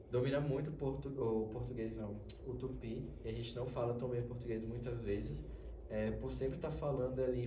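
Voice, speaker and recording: A young Brazilian man speaking informally as a student on a panel, halting and searching for words, recorded roomy and from a distance. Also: mid-range voice. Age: young adult. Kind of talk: informal spoken panel presentation. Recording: roomy, distant mic with faint noise.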